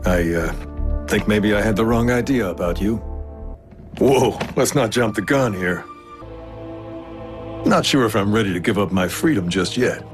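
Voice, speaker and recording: A man voicing a cocky, roguish, battle-hardened robot warrior in an animated series, with a wry, laconic tough-guy drawl. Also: on the low side, a little slow, pauses often, music in the background, natural voice. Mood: sincere, measured.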